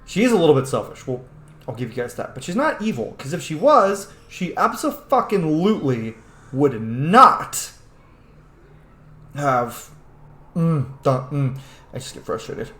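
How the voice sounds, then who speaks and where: A man's voice: fairly high pitch, big pitch swings, normal speed. A man giving casual, opinionated commentary while playing a video game, profane, emphatic and argumentative. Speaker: gaming video host. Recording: roomy, echoing home microphone.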